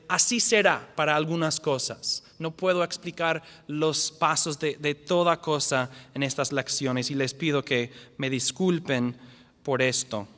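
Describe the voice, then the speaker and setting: High-voiced non-native pastor in a reverberant hall. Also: male voice, fairly bright tone. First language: not the language spoken. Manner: earnest, restarts words, repeats key definitions slowly. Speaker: pastor teaching a lesson series. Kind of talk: church lecture. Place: church hall.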